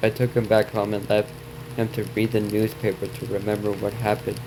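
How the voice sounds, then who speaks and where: Male reaction host, lower register, halting read-aloud with stumbles and casual asides, clearly noisy hissing recording. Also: flat delivery. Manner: halting reading, stumbling over words and repeating them. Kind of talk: reading on-screen story text aloud with asides.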